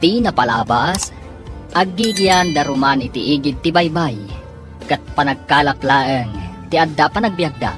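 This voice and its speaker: A woman's voice: low-pitched, animated, moderate pace. A woman with an Ilocano accent narrating and announcing a scripted radio drama over music. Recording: music behind the voice.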